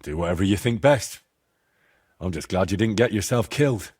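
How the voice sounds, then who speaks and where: A young medieval fighter for a game, lowish husky voice, sober and matter-of-fact. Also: male voice, lively intonation, moderate pace, darkish tone. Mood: easygoing. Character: plain-spoken young male medieval fighter, sober and conscientious. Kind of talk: acted dialogue in a medieval video game.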